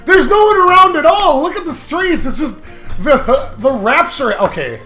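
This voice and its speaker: Male let's play host, very lively swings in pitch, jokey and excitable, faint game music behind. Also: moderate pace. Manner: laughs often while talking. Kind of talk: casual comedic let's play commentary. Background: video game music.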